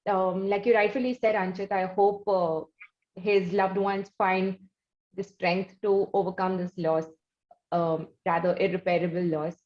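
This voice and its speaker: A woman with an Indian accent hosting a conversational interview, speaking in halting, drawn-out phrases. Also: medium pitch, flat delivery. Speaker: interview host. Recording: muffled, echoey room sound.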